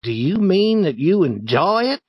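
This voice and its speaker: A man voicing an excitable, teasing boy who ends up begging for a turn. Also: sits high, a little slow, slightly rough, no echo, dull audio, voice pushed up, full character voice. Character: eager, teasing boy playing a riverboat. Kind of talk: storybook reading.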